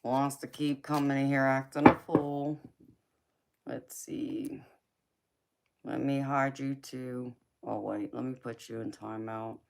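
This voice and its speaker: A woman's voice: very deep voice, fairly even intonation, slow pace, gravelly, background noise. A young woman with a Southern accent, hosting a casual livestream, chatting with viewers, laughing often and answering their comments. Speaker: tarot reader and livestream host.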